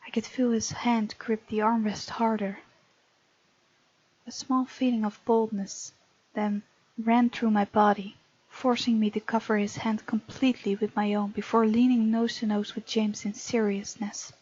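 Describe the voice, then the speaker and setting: A woman voicing a bold, tender young heroine who narrates a visual novel romance in a breathy, often whispery voice. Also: on the high side, little pitch movement, unhurried, a little mellow, no room sound. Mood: intimate, hushed. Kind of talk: hushed dramatic reading of a visual novel. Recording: muffled lo-fi mic, music underneath.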